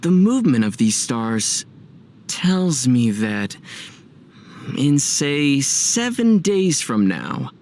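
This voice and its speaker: A young man voicing a calm, thoughtful, wry traveler hero in a video game, speaking in a measured, musing way. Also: medium pitch. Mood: mock mystical. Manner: measured, musing, gently dry. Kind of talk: video game character dialogue.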